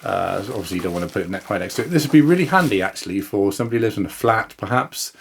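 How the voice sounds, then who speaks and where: A man's voice: on the low side, animated, rapid-fire, creaky, slight background noise. A man giving a casual, chatty product demonstration, informally thinking aloud and correcting himself as he goes. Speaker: product reviewer and video host. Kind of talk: casual unboxing and demonstration talk to camera.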